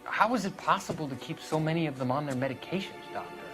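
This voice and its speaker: A man's voice: a little high, fast talker, close to an everyday voice. An actor playing an earnest, polite young medical student who questions his superiors, in a dramatic video game cutscene. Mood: troubled, deferential. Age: young adult. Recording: music behind the voice.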